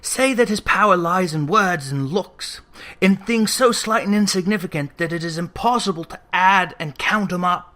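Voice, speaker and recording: A storybook narrator playing a miserly old man who has softened and speaks earnestly and insistently. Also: mid-range voice, normal speed, lightly acted. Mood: heated, earnest.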